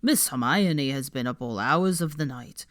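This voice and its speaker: A woman's voice: a bass voice, moderate pace, no echo, clean studio sound, voice pushed down. A woman voicing a small, dutiful male servant creature who speaks deferentially and refers to himself in the third person. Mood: earnest, dutiful.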